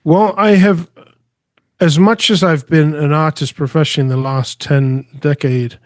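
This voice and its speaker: A man's voice: fairly high pitch, steady tone, no echo. Middle aged guy, earnest, keeps restarting, dry close mic. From England, raised in Spain. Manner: earnest, halting, with frequent restarts. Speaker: artist and guest. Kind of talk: conversational interview answers.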